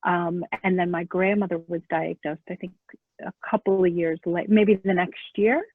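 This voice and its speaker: A woman in her thirties, originally from Florida, speaking softly and hesitantly with many pauses as an interview guest on a video call. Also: on the low side, steady tone, no echo. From Florida, USA. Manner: soft-spoken, hesitant, with many ums and pauses. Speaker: artist and guest. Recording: narrow phone-like call audio.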